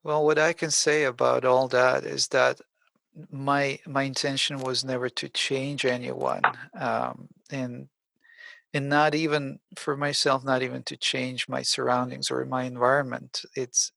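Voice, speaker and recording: A man hosting a podcast, talking reflectively in a measured, halting, conversational way. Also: mid-range voice, flat delivery, creaky, fairly bright tone, no echo. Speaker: podcast host. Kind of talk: reflective conversational interview talk.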